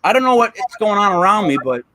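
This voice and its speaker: A man's voice: high voice, very animated, a little fast, bright, forceful tone. A man co-hosting a podcast, chatting casually and telling stories with lots of fillers. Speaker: podcast co-host. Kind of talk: casual chat.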